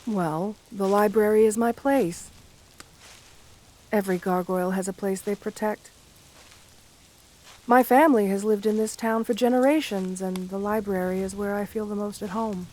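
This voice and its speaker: A woman voicing a shy, lonely, romantic gargoyle girl in a gentle ASMR roleplay, speaking tenderly with formal, bookish wording. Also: mid-range voice, steady tone, normal speed, pauses often, close to an everyday voice, poor audio. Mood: shy, earnest. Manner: tender, soft-spoken, formal old-fashioned wording.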